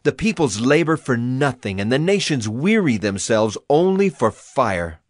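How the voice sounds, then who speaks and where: A man's voice: mid-range voice, big pitch swings, unhurried, no room sound, clean studio sound. A man playing a solemn prophet proclaiming divine wrath in a declamatory, dramatized scripture reading.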